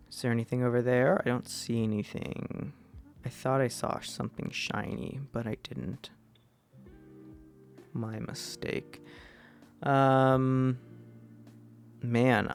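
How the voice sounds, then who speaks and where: A young male streamer with a deep, gravelly voice talks in an unhurried ramble. He trails off with fillers and pokes fun at himself. Soft music plays faintly behind him. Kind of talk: casual gameplay commentary to chat.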